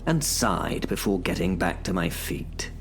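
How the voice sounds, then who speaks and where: A man's voice: low-pitched, expressive, measured pace, creaky, slight background noise. A man narrating a first-person horror audio drama in a wry, self-mocking way. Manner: wry, self-mocking, dry British humour. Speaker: narrator and lead character.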